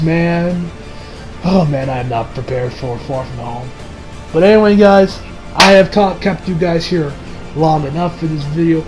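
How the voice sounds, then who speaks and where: A young man giving a casual, rambling movie review as an excitable, slangy fan who trails off into asides. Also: high-pitched, poor audio. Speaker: video reviewer. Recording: music behind the voice. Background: music.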